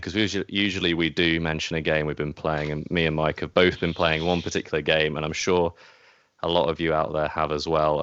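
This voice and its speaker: A man's voice: extremely low pitch, a bit bright, clear, resonant tone, no room sound, lo-fi sound. A man hosting a podcast in a casual, chatty way. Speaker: podcast host. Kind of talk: casual podcast chat and introduction.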